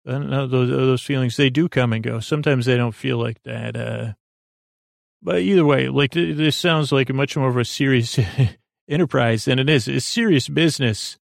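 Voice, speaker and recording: A man hosting a bedtime podcast in a gentle, reassuring, informal way, meandering and halting with many false starts, in a creaky, gravelly voice. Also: mid-range voice, dry recording, studio quality. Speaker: podcast host. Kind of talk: rambling, soothing bedtime podcast monologue.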